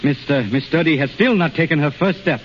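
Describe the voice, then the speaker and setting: A man's voice: fairly high pitch, fairly quick, close to an everyday voice. Male actor, tormented and commanding healer, urgent repetitions, vintage radio melodrama, muffled sound with heavy hiss. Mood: agitated showmanship. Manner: urgent, commanding, repeats words. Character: intense, proud, tormented male self-taught healer. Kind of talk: 1930s radio drama acting. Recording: noisy, dull 1930s radio recording.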